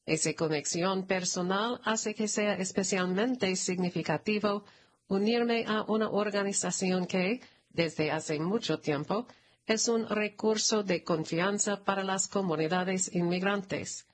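A woman narrator reads a prepared announcement at a slow, deliberate pace. Her pitch sits in a middle range for a female voice, and her delivery is formal and measured throughout. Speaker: narrator reading a press release. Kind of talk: scripted read-aloud news announcement.

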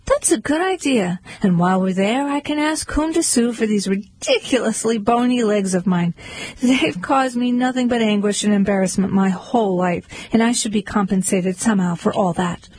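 A woman voicing an excitable, lawsuit-hungry young hen in a storybook. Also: mid-range voice, normal speed, slightly rough, no echo, natural voice, low-quality recording. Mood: eager.

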